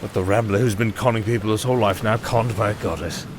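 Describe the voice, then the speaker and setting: An actor playing a silver-tongued wandering musician in a fantasy audio drama, sardonic and theatrical, easily flustered. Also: male voice, lowish voice, steady tone, fast talker, noisy background, natural voice. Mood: rueful disbelief.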